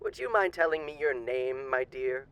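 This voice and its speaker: A man's voice: mid-range voice, moderate pace, fairly bright tone, clear, resonant tone, no room sound, close to an everyday voice. A man playing a suave demon overlord with theatrical, old-fashioned genteel diction in an audio roleplay. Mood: smug, courteous. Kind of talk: ASMR character roleplay.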